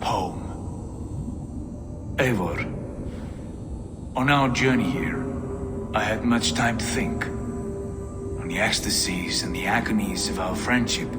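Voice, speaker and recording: An actor playing a troubled, brooding Norse warrior in a video game, speaking haltingly at times. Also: male voice, lowish voice, over loud music. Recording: loud game score behind.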